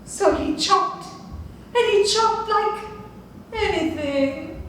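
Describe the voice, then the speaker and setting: A woman reading a picture book aloud in a sing-song, animated way with dramatic pauses. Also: very high-pitched, recorded from a distance, slight background noise. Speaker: storyteller. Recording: echoey room from afar.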